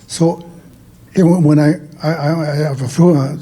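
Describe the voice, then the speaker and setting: An older man whose first language is Dakota, from a Dakota nation in Saskatchewan, speaking as an elder on a conference panel in a halting way with many restarts and throat clearings. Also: fairly high pitch, steady tone, warm tone. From Wahpeton Dakota Nation, Saskatchewan, Canada.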